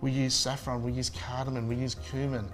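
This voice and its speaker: A man's voice: mid-range voice, little pitch movement, brisk, faint music behind. A man, a chef chatting casually and enthusiastically in an interview, with many fillers and restarts. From Spain.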